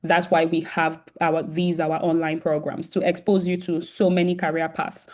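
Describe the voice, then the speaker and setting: A woman's voice: low voice, little pitch movement, moderate pace, darkish tone. A woman with a sore throat and an occasional cough hosts a virtual career event on a video call. She talks casually to students, with many "um" fillers and restarts.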